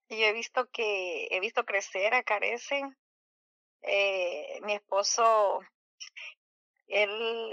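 Slow-talking Salvadoran woman guest, bright voice. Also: mid-range voice, creaky, no room sound, dull audio. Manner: hesitant, many fillers. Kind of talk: casual radio interview.

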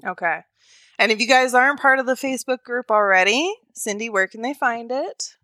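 Bright, joking woman podcast co-host. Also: a little high, a little fast, no room sound, clean studio sound. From the American South. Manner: joking, self-deprecating, laughs a lot. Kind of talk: casual chat.

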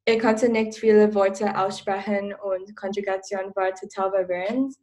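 Slow, flat teen girl. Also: medium pitch. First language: Chinese. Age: teens. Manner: careful, asks questions. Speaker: student podcaster. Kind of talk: casual chat.

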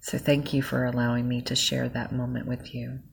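A woman leading a guided gratitude meditation, speaking softly and soothingly straight to the listener. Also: rumbling low, little pitch movement, creaky, breathy edge. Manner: soft, slow and soothing, speaking directly to the listener.

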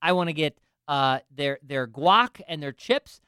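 A man's voice: a little high, expressive, a little slow, sharp tone, clean tone, no room sound, studio quality. A man in finance telling a self-deprecating story in casual chat, with lots of restarts and asides. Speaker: finance professional.

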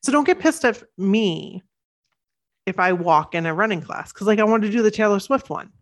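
A woman in her thirties co-hosting a podcast, chatting eagerly with quick asides, sniffly from the cold. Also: medium pitch, expressive. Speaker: podcast co-host. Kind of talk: casual chat.

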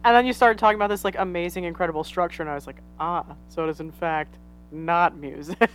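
A woman's voice: lowish voice, fairly even intonation, quick pace, clean tone, faint music behind, rough recording. A woman co-hosting a casual podcast. She reacts with enthusiastic, exaggerated remarks. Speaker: podcast co-host. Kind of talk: casual chat.

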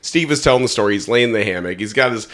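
A man from Kansas co-hosting a podcast, ribbing the host with deadpan, crude jokes. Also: on the low side, racing. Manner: teasing, deadpan ribbing, swearing. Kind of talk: casual crude banter.

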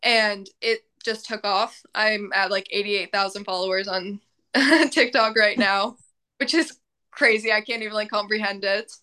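Bright-voiced young woman, casual and chatty. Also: medium pitch, steady tone, a little slow. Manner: casual, filler-heavy, laughs often. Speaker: podcast guest, mental health advocate. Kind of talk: casual interview chat.

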